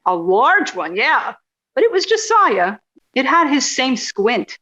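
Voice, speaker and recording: A sarcastic woman reporter played by an actress in a radio drama. Her voice sits a bit high, with wry, indignant delivery, and the sound is slightly dull and muffled. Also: very animated, takes it slowly, sharp tone, heavily acted. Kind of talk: radio play dialogue.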